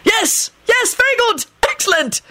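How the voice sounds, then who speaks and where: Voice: very high voice, sing-song, a little slow, bright, forceful tone, voice pushed up, full character voice. A storybook reader voicing a pompous, portly little male minister who babbles in flustered, falsely jovial panic. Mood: terrified babbling.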